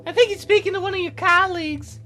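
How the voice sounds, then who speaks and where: Man putting on a high falsetto grieving wife. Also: pushed and bright, faint music behind. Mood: tearful, anxious. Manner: falsetto, halting, plaintive. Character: grieving, tearful wife answering a detective.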